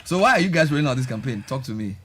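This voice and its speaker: A man's voice: mid-range voice, very animated, very fast, a bit bright, slight background noise. A Ghanaian man hosts a breakfast radio show, joking with guests and laughing easily. His voice sits in a middle register. Faint studio ambience hums behind him. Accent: Ghanaian English. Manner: playful, teasing, laughs often. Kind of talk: live breakfast radio interview.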